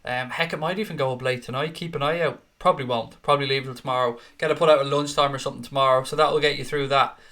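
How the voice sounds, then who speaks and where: A man's voice: mid-range voice, steady tone, fast, few pauses, fairly bright tone. A young Irish man hosting a casual live stream, chatting with viewers in an upbeat, talkative way with the odd swear. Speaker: host.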